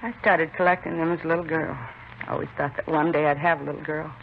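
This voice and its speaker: Subdued middle-aged widow, 1950s radio crime drama; gravelly tone, faint hiss. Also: female voice, sits low, fairly even intonation, quick pace. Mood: wistful, resigned. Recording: muffled, hissy 1950s radio recording.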